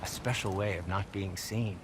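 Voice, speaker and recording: A man's voice: deep voice, fairly even intonation, normal speed, noisy background, close to an everyday voice. An actor playing a fussy, nervous dwarf craftsman in a video game, with a hesitant, jittery way of talking. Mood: sheepish. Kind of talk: video game dialogue acting.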